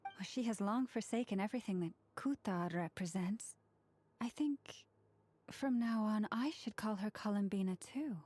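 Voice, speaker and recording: A woman voicing a solemn, formal woman in a fantasy video game, grave and deliberate. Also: medium pitch, darkish tone, lightly acted.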